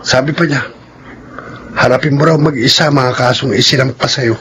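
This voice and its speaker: A man's voice: mid-range voice, fairly even intonation, moderate pace, bright, forceful tone, husky. An actor playing a blunt, serious friend of an accused man in a radio drama. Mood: grave. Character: frank male friend of a jailed criminal.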